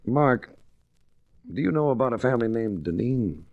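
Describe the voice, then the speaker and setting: A man's voice: mid-range voice, animated, moderate pace, narrow, tinny audio, natural voice. An actor playing a tough investigator who narrates his own case with dry, hard-boiled wit in 1940s radio style. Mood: wry. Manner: hard-boiled, dryly witty first-person storytelling. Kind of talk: 1940s radio detective acting. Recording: restored old radio with hiss.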